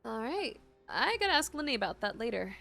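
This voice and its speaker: A woman with a fairly high voice reacts to a game as a streamer. Her commentary is casual and expressive, full of exclamatory asides. Faint music plays behind her. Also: brisk, creaky.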